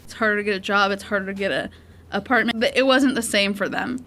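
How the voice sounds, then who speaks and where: A woman's voice: medium pitch, fast talker, fairly bright tone. A woman in her twenties giving casual answers in an interview. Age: 20s.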